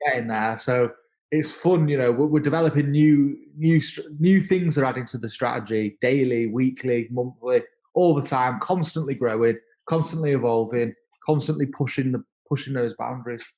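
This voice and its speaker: Halting man in his thirties. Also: medium pitch, a little mellow. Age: 30s. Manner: halting, repeats words and restarts often. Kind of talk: casual interview conversation.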